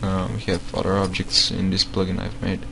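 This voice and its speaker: A man's voice: low voice, monotone, a little fast, creaky, background noise. A man who is not a native English speaker, giving a screen-recorded tutorial on building game mods. He talks hesitantly, with many fillers and repeated words. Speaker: game modding tutorial maker.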